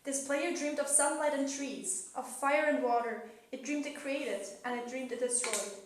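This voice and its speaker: A woman playing a wise, knowing cosmic spirit in a calm, solemn dramatic reading of a poetic dialogue. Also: sits high, normal speed, natural voice. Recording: echoey room, distant mic, faint noise.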